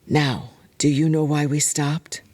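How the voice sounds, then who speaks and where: A woman playing a wise, kindly older village matron in an audio drama, measured, matter-of-fact and firmly encouraging. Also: low-pitched, little pitch movement, darkish tone, dry recording. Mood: gently wry.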